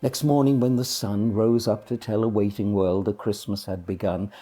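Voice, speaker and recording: Low, husky storyteller. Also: male voice, fairly even intonation, normal speed, warm tone. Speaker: poetry reader. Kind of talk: expressive recitation of a children's verse story. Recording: music behind the voice. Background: music.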